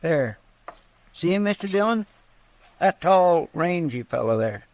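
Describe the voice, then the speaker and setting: Creaky, drawling frontier sidekick. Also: male voice, a little high, big pitch swings, a little slow. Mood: suspicious, eager. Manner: rambling, deferential, folksy. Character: folksy, earnest, garrulous deputy of a western marshal. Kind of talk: 1950s western radio drama acting.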